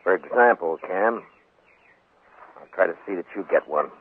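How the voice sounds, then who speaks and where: An actor playing a stern, weary, principled American marshal, terse and understated, in a 1950s radio western. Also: male voice, low-pitched, normal speed, slightly rough. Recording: muffled old radio broadcast.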